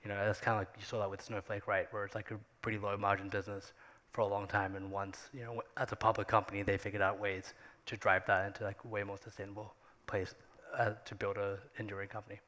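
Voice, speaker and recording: A man with a lowish, very even voice speaks on a panel. He talks conversationally and haltingly, restarting phrases, with the long reverb of a large hall behind his words. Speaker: tech company executive on a panel. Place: on stage at a conference.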